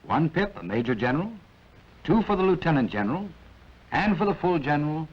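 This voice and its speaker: A man's voice: a little high, very animated, a little fast, slightly rough. A man with an American accent narrating a 1940s military training film, instructing in a clipped way with wry asides. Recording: dull, band-limited vintage film soundtrack.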